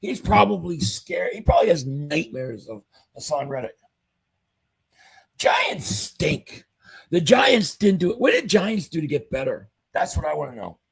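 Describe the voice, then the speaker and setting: Man, livestream host, gravelly and hoarse from losing his voice, upbeat and chatty. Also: a little high, very animated, a little slow. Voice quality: husky, fading voice. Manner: enthusiastic fan reading chat comments aloud. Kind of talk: casual live-chat commentary.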